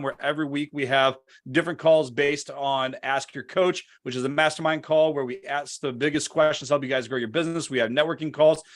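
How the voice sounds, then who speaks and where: A man's voice: on the high side, fairly bright tone. A man hosting a business interview show, promoting his community and introducing a guest in an upbeat, conversational way. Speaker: podcast host.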